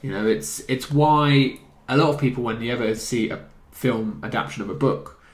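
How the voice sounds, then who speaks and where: An echoey recording of a man in a casual podcast discussion. His pitch is average for a man, and his phrasing is informal and a little hesitant as he puts his opinions together. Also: normal speed. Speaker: podcast co-host. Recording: echoey room.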